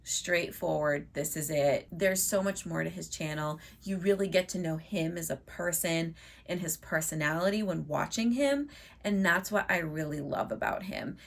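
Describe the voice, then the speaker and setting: A woman vlogger chatting casually and upbeat to her viewers, her voice a bit hoarse from losing it. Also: fairly low pitch, normal speed. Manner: chatty and upbeat, many fillers like "like". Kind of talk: casual chat to camera.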